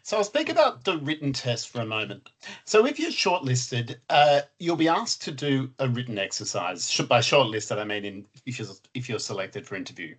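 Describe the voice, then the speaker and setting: A man's voice: medium pitch, very animated, moderate pace, husky. A man, a lawyer, explaining a process plainly and in order during a webinar. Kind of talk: presenting in a webinar.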